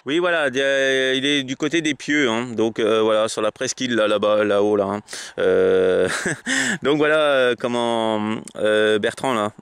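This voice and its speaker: A man chatting casually as a radio operator relaying messages, halting and repeating himself as he goes. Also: medium pitch, gravelly, a bit bright, slight background noise. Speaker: amateur radio operator relaying between contacts. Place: outdoors.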